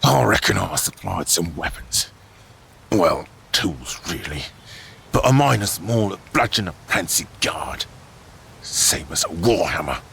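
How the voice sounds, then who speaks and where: Gravelly, defiant blacksmith. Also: male voice, deep voice, sing-song, unhurried, a bit bright, a little hiss or hum, heavily acted. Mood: bitter defiance. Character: defiant, hateful rebel blacksmith. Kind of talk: fantasy audio drama acting.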